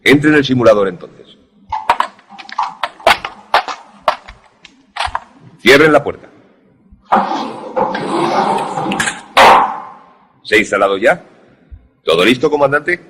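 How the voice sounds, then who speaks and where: A man's voice: medium pitch, animated, fairly quick, very noisy, lightly acted. A man playing a stern, authoritative air force colonel in a suspense radio drama, speaking formally and in clipped commands. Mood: commanding.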